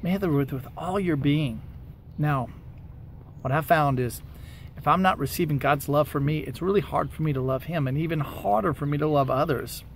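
A man's voice: fairly high pitch, moderate pace. An American man in his forties giving casual, earnest encouragement to the camera, speaking conversationally outdoors into a handheld device. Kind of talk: casual devotional talk to camera. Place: outdoors by a wooded parking lot. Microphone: camera or phone at arm's length. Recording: outdoor ambient noise.

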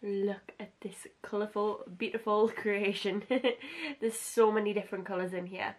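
A young woman in her twenties with a husky voice, chatting casually through a makeup tutorial in a small bedroom, gushing over products. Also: mid-range voice, moderate pace. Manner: chatty and gushing, heavy use of "like". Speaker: beauty vlogger.

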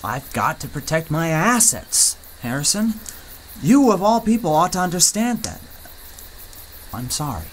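A man's voice: on the high side, big pitch swings, a little slow, a little hiss or hum, low-quality recording. A man playing a cautious, practical riverboat skipper who speaks hesitantly and apologetically. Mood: apologetic. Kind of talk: radio serial acting.

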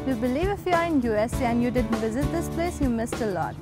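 High-voiced young woman from India. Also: lively intonation, fast talker, loud music behind the voice. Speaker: student co-host. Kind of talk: scripted student video presentation.

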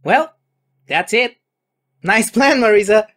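A woman's voice: on the high side, expressive, slow pace, fairly bright tone, full character voice. A woman voicing a bossy, exasperated young shrine maiden with a sarcastic, scolding manner in a parody cartoon. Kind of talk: animated parody cartoon acting.

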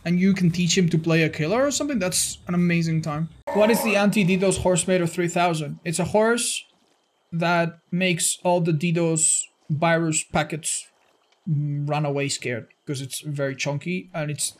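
A man in his thirties doing excitable live gameplay commentary for a chat, exclaiming and repeating phrases for emphasis. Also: fairly high pitch, moderate pace. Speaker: video game streamer. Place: indoor streaming room.

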